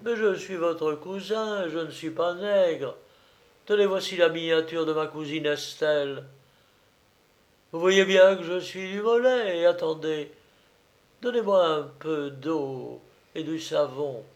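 A man's voice: a little high, slow pace, pitched above the performer's own voice. A man voicing a hapless bridegroom in a comic storybook tale, protesting in a plaintive, faltering, flustered way. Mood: pleading. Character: hapless flustered bridegroom, pleading indignant provincial cousin.

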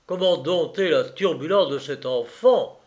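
A man's voice: fairly high pitch, unhurried, darkish tone, close to an everyday voice. A man voicing a dull, fretful bachelor in a storybook reading, hesitant and plodding.

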